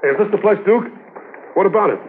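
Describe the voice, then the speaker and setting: An actor playing an eager, obliging police partner in an excitable, colloquial 1950s radio drama style. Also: male voice, sits high, quick pace. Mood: curious, excited. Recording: very noisy, tinny 1950s radio transcription.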